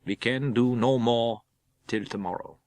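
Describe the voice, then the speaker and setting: A grave foreign professor and vampire hunter, played by a male narrator. He is commanding and solemn, drawing out his broken English slowly in a Gothic horror audiobook. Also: medium pitch, steady tone, darkish tone, dry recording, lightly acted. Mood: solemn, instructing.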